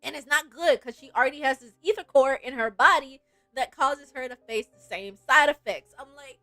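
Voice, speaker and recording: A woman game streamer chatting casually and reacting in an animated, giggly way. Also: high voice, normal speed, no echo, soft background music. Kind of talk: casual livestream chat with read-aloud game lines.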